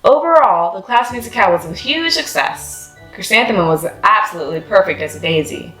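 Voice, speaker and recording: A woman's voice: medium pitch, lively intonation, fairly quick, a bit bright. A woman narrating a children's picture book as a read-aloud storyteller. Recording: roomy echo with faint music.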